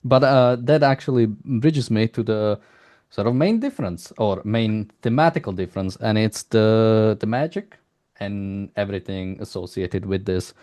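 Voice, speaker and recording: A man conducting a casual interview, asking long, rambling questions in a halting way. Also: on the low side, dark tone.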